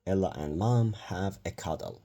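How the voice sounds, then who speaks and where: A man's voice: sits low, moderate pace, mellow. A man reading a children's bedtime story aloud in a careful manner, stumbling now and then over words.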